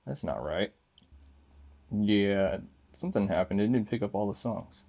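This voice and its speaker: A man's voice: lowish voice, expressive, unhurried, gravelly, warm tone. A man giving a casual, unscripted hands-on gadget review, thinking aloud in short fragments.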